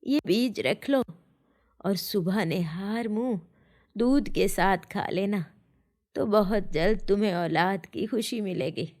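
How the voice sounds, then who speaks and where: A poor old woman, sickly and humble, speaks kindly at a medium pitch, as a female storyteller acts her out. She pleads and gives grateful blessings in the manner of a children's fairy tale. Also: takes it slowly, a little mellow, lightly acted.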